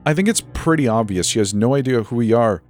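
A man's voice: medium pitch, lively intonation, brisk, a little mellow, breathy edge, faint music behind, voice pushed up. A man voicing a brash, teasing teenage boy with a cocky, casual manner. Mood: mocking.

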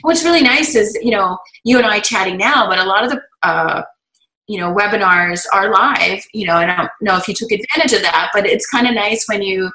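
A woman's voice: medium pitch, fairly quick, sharp tone. A woman interviewing a program graduate in a chatty, encouraging way, asking long questions. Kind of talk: casual interview. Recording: echoey room sound.

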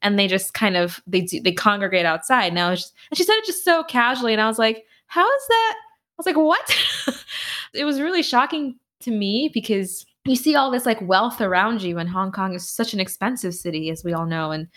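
A young woman answering interview questions casually, telling animated stories with lots of "like" fillers. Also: mid-range voice, fairly quick. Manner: animated storyteller with frequent "like" fillers and laughs. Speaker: podcast host and finance blogger, interview guest.

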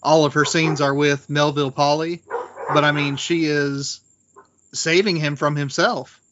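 A man co-hosting a podcast in casual, analytical discussion, thinking aloud with halting restarts, on dull, faintly noisy audio. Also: a little high, steady tone. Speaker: podcast co-host. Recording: dull lo-fi audio with background noise.

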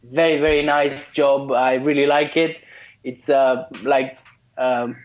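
A man's voice: mid-range voice, level tone, takes it slowly, slight background noise. A man from Romania, a guest in a casual interview, who talks hesitantly with many fillers and restarts. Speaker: guest, course student. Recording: muffled lo-fi call audio.

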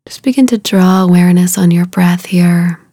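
A woman leading a guided meditation in a soothing, unhurried way, with a soft, breathy voice and long pauses between short phrases. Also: lowish voice, no echo, studio quality.

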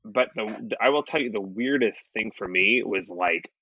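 Bright-voiced man in his late twenties. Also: on the low side, moderate pace, husky, no echo, muffled recording. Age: late 20s. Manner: rambling with many restarts and fillers, swears casually. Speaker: podcast co-host. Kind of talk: casual chat.

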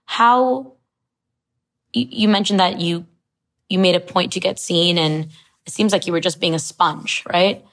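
A woman's voice: fairly low pitch, a little slow, gravelly, pauses often. A woman hosting an interview, engaged and asking probing follow-up questions. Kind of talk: conversational interview.

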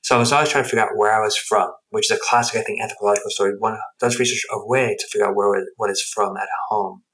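A man's voice: lowish voice, fairly even intonation, fast, a bit bright, low-quality recording. A middle-aged man telling personal stories as an interview guest, reflective and emphatic, often rephrasing himself. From United States and Guatemala. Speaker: interview guest, anthropologist and researcher.

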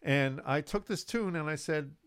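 An older man, a musician, telling his story in a relaxed, rambling way with many fillers and restarts. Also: fairly high pitch, moderate pace, clear, resonant tone, dry recording, clean studio sound. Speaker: musician guest. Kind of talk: casual storytelling interview.

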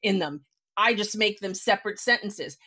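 A woman's voice: mid-range voice, moderate pace, sharp tone, slightly rough. American woman hosting a chatty interview. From United States. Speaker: podcast host. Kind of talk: conversational interview about writing.

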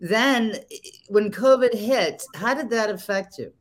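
A woman hosts an interview in a mid-pitched voice. She asks her questions haltingly, often stopping and starting over mid-sentence. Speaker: host. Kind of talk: interview host.

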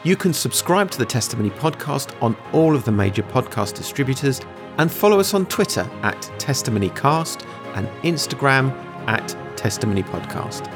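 A man hosting a faith podcast, reading a scripted intro and then chatting with a guest in an earnest, measured way. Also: medium pitch, big pitch swings, over music. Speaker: podcast host.

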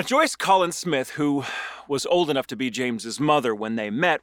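Man narrating with very lively intonation. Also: mid-range voice, no echo, studio quality. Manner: erudite, literary phrasing. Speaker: podcast presenter reading an essay aloud. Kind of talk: scripted narration with conversational asides.

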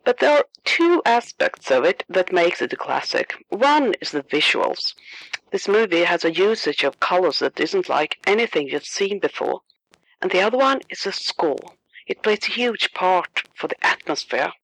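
A woman with a Swedish accent, a film blogger reading a friendly, measured segment introducing a horror score, with a slightly wry touch. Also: fairly low pitch, big pitch swings, fairly bright tone, breathy edge, no echo, rough recording. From Sweden. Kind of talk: scripted recorded segment.

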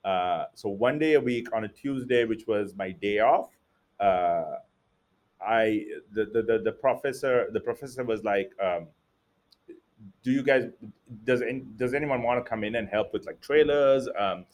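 A man's voice: fairly low pitch, a little slow, a little mellow. A man from Sri Lanka, an entrepreneur guest, answering interview questions casually with many fillers and restarts.

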